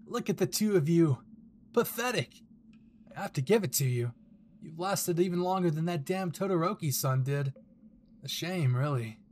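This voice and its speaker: A man's voice: fairly high pitch, animated, normal speed, long pauses, darkish tone, slight background noise, voice pushed up, natural voice. A man voicing a cruel, sneering male villain henchman in an anime fan audio drama, theatrically mocking and taunting. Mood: gloating.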